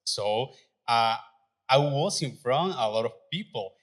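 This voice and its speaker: Slow, halting man telling his story. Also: medium pitch, animated. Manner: halting non-native English with many fillers and restarts, nervous, laughing. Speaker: adult learner sharing his story. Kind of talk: live speaking at an event. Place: event venue.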